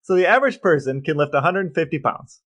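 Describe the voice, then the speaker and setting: A man co-hosting a podcast, chatting casually and delivering playful facts and figures with a deadpan touch. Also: on the high side, fast talker. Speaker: podcast co-host. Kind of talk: casual chat with playful calculations.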